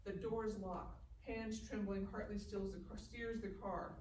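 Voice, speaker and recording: A woman's voice: medium pitch, steady tone, a little slow, a little mellow, far from the mic, slight background noise. Woman reading stage directions, echoey live room. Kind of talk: scripted narration at a live table read. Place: live festival event room.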